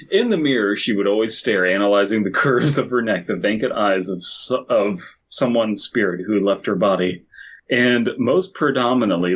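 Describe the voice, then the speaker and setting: A man's voice: fairly low pitch, slightly rough, low-quality recording. A man co-hosting a podcast, riffing in casual comedic banter and swearing freely. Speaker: podcast co-host.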